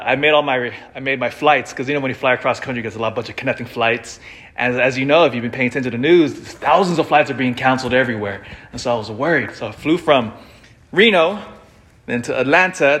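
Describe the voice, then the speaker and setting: Priest preaching, measured and emphatic man. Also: medium pitch, a bit bright, a little hiss or hum. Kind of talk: scripture reading and conversational homily.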